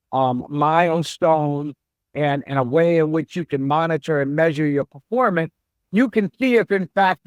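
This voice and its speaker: A middle-aged man, a chief executive, answering interview questions in a slow, measured and reflective way. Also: on the high side, no room sound. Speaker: CEO guest.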